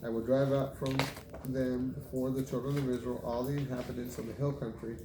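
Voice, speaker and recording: A man reading scripture aloud as a Bible reader, haltingly, stumbling over unfamiliar names. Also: medium pitch, flat delivery. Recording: echoey room with clear background noise.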